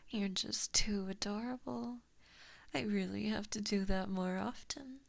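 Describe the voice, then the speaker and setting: A woman voicing an evil, possessive giant fox spirit in a slow ASMR roleplay, whispering softly and breathily with mockingly sweet menace, close to a binaural mic. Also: mid-range voice, creaky. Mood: affectionate teasing. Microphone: close binaural microphone.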